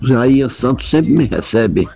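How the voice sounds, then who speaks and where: A man's voice: mid-range voice, animated, measured pace, creaky, music in the background. A man from Italy with an Italian accent calling a radio show, politely sending greetings and apologizing. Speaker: radio caller. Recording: voice message.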